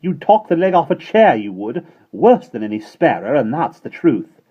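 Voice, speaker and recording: A man putting on a stern storybook nanny, brisk and sharp. She scolds loudly in a raised voice. The recording is dull and lo-fi. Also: mid-range voice, big pitch swings, breathy edge, voice pushed up. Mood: scolding.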